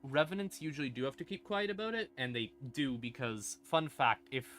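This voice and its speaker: A man hosting a livestream, explaining lore casually and wryly, in halting phrases broken by pauses and emphatic stresses. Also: mid-range voice, lively intonation, a bit bright, faint music behind. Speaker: livestream host.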